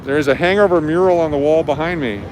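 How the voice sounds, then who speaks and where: A man's voice: a little high, fast. A man hosting a casual walking livestream outdoors on busy streets, talking into a handheld camera as he goes. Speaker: livestream host. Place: outdoors on city sidewalks at night, by traffic. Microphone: handheld camera or phone while walking. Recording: street traffic and live music behind.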